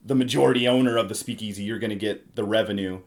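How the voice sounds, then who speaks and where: A man with a fairly low voice hosts a relaxed board game discussion. He is chatty and explanatory, often turning to the others with questions. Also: fast, a little hiss or hum. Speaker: podcast host.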